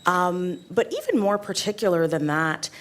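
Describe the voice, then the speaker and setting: Low-voiced Canadian woman. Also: expressive, moderate pace, a little hiss or hum. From Ottawa, Canada. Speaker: panel guest. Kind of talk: casual panel discussion.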